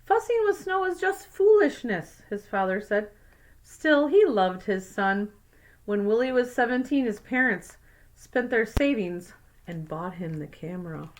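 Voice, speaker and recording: A woman's voice: a little high, lively intonation, measured pace. A woman teacher reading a picture book aloud to young children, adding simple explanatory asides. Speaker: second grade teacher.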